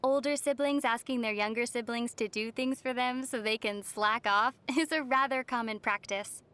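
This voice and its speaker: Woman acting a high-voiced, wry young adventurer heroine. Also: steady tone, normal speed, fairly bright tone, clean tone, natural voice. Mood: playful. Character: young female traveler heroine, wry, gently teasing. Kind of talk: video game voice acting.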